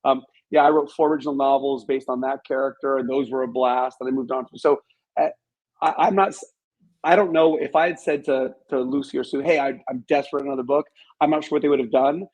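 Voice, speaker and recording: A man's voice: medium pitch, steady tone, quick pace, a little mellow, rough recording. Male interview guest, author type, modest and reflective, conversational storyteller.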